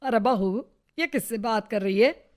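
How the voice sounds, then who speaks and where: A woman's voice: fairly high pitch, a little slow, a little mellow, lightly acted. A woman voicing a sly, disapproving middle-aged neighbour in a cartoon story, snide and falsely sweet. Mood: stern, disapproving. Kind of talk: animated story acting.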